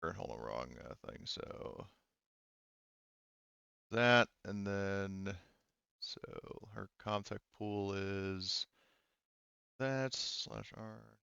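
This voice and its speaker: A man running a tabletop roleplaying game as game master, narrating off the cuff and haltingly thinking aloud. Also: low-pitched, expressive, vocal fry, bright, forceful tone, clear, resonant tone, dry recording, highs cut off.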